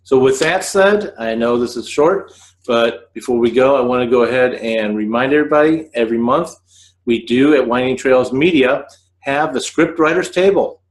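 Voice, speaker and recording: A man in his forties hosting an informal instructional podcast, talking to the camera in halting, measured phrases from a living room. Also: medium pitch, poor audio. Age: 40s. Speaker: host of a scriptwriting video podcast. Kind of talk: informal explanatory talk to camera. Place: living room on a couch, indoors.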